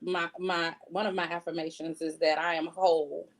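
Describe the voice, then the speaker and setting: A woman's voice: sits low, flat delivery, moderate pace. A woman speaking casually and conversationally as an interviewed author.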